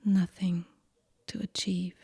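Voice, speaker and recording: A woman guiding a yoga nidra meditation in a hushed, breathy near-whisper, gentle and soothing, with long pauses between phrases. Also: fairly low pitch, flat delivery, no echo. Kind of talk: guided relaxation meditation, soft and whispery.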